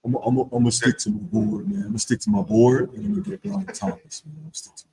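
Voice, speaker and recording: A man's voice: mid-range voice, fast talker, breathy edge, rough recording. A man co-hosting a draft show, talking in a slangy, casual way as he debates his pick. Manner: animated, slangy, says bro a lot. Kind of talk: casual mock draft chat.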